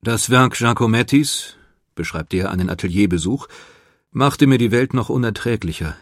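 A man reading scripted biographical narration in a measured, composed manner. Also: lowish voice, lively intonation, dry recording, clean studio sound. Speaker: documentary narrator. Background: music.

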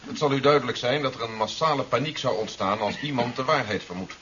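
A man acting as a brisk military general in a seventies radio drama. He talks quickly and formally, with businesslike authority. The recording is dull, with faint background noise. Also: medium pitch, a bit bright, natural voice. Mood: firm, businesslike. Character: brisk, authoritative male general planning a secret defence operation. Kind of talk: 1970s Dutch radio play acting.

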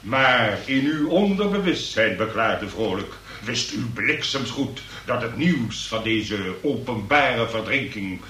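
A man's voice: medium pitch, animated, takes it slowly, few pauses, pushed and bright. A man acting a pompous, long-winded examining magistrate in a comic radio play, speaking in ornate legal phrases. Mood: pontificating. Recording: noisy, echoey room, distant from mic.

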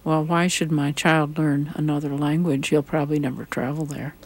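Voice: medium pitch, flat delivery, moderate pace, slightly rough. A host asking curious questions in a casual interview.

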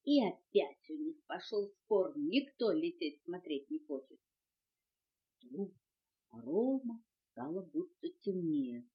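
Older female storyteller for children, animated and unhurried, on muffled home cassette. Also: lowish voice. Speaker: storyteller reading for a grandchild. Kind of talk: expressive children's tale narration. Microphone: home cassette recorder.

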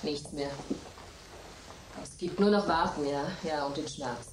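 An actress playing a frightened woman in a radio play, whose halting, whispered voice seems taken over by something from the house's past. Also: sits low, echoey room, heavy background noise. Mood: hollow despair. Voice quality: trembling, halting, often whispering.

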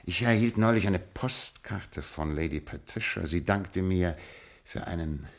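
An actor playing a cool, ironic aristocratic lord who has become a gangster boss, in an old radio crime play, with a dry, drawling delivery. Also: male voice, low-pitched, unhurried. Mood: menacing irony.

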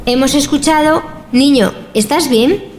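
A woman's voice: high voice, unhurried, darkish tone, a little hiss or hum. Woman announcing a radio drama. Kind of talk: scripted program announcement.

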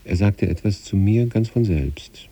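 Very dark, deep husky male narrator. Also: animated, measured pace. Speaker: narrator reading a literary story. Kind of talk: scripted literary narration in the first person, as letters.

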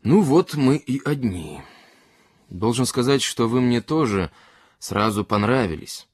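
A man's voice: mid-range voice, expressive, measured pace, a little mellow, husky, dry recording, studio quality. A man voicing a tipsy, earnest character in a literary story who philosophises courteously and emphatically, in a rambling way.

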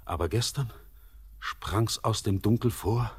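An actor playing a tormented, brooding young man in a poetic radio drama, speaking in a halting, solemn and intense theatrical way. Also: fairly low pitch, husky. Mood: haunted, confessing.

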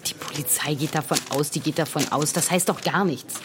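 Earnest, low-voiced young woman played by an actress. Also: noisy background, natural voice. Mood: hurt, pleading. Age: young adult. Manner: earnest, pleading, halting. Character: grieving, insistent young woman seeking answers. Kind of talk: naturalistic German radio drama acting.

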